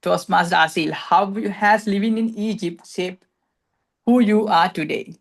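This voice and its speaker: A woman's voice: medium pitch, unhurried, vocal fry. A woman running a meeting's impromptu speaking segment, hesitant with many fillers and restarts. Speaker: table topics master.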